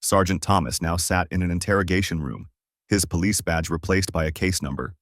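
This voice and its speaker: Low-voiced male dramatic story narrator. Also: steady tone, fairly quick, no echo, clean studio sound. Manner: solemn, suspenseful storytelling.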